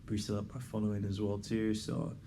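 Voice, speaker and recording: A man's voice: lowish voice, monotone, moderate pace. A young man as an interview guest, talking in a laid-back, rambling way, full of "like" and "you know". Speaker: guest, program member. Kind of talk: casual interview testimonial. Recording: clear background noise.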